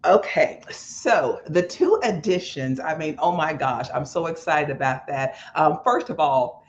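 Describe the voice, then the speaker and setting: A woman's voice: low voice, lively intonation, measured pace, lo-fi sound. A woman playwright speaking unscripted as an interview guest, effusive and devout in the way she talks.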